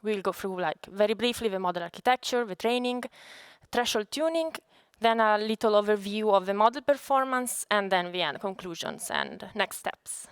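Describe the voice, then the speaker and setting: A woman from Italy with an Italian accent, a native Italian speaker, giving a conference presentation as a data scientist in a halting, explanatory way. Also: mid-range voice, expressive, clear, resonant tone, no room sound.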